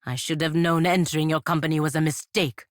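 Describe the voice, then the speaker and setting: A low-pitched actress playing a stern game warrior woman, proud and dutiful. She speaks formally and with measured weight, as if every word is counsel or admonishment. The sound is dry and studio-clean. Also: steady tone, no room sound, natural voice. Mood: disapproving. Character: proud, stern warrior knight sworn to her republic, woman. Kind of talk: video game character dialogue.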